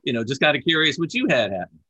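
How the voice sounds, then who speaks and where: A man's voice: a little high, quick pace, dull audio. A man co-hosting a podcast, asking a guest questions in a joking, casual way. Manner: joking, lively questions with fillers. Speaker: podcast co-host.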